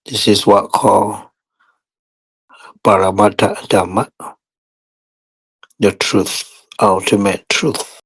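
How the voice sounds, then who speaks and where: A man teaching guided meditation in short, measured phrases with long pauses between them. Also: lowish voice, flat delivery, breathy edge, rough recording.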